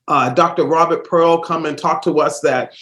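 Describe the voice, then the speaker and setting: A man's voice: a little high, steady tone, normal speed, reverberant. A man hosting a casual solo podcast while stuffed up with a cold, rambling with fillers and restarts. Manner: rambling, warm-hearted, many fillers and restarts. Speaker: host.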